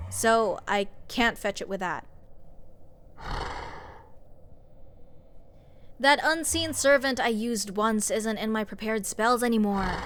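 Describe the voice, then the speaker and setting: Woman voicing a sarcastic fantasy sorceress, higher-pitched, unhurried delivery, exasperated, scoffing, audio roleplay. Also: fairly bright tone, slight background noise. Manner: sarcastic, conversational, emphatic. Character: snarky young sorceress, exasperated, scoffing, nitpicking adventurer. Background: fantasy dungeon ambience.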